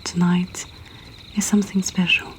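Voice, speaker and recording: A woman softly whispering a poetry reading as an intimate storyteller host, breathy and deliberate with long pauses. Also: on the low side, level tone.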